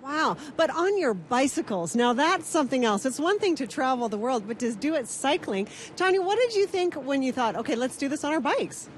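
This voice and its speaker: Upbeat woman morning-show host, high voice, light street noise. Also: big pitch swings, a little fast, few pauses. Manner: upbeat, chatty. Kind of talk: breakfast TV interview.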